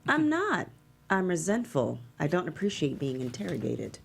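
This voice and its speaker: A woman's voice: lowish voice, wildly swooping pitch, moderate pace, creaky, slightly rough, heavily acted. A woman playing a proud, resentful media mogul in a script table read, dry, indignant and emphatic. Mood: resentful, defensive. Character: proud, resentful female media mogul, defiant under pressure. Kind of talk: table read of a screenplay, dramatic dialogue.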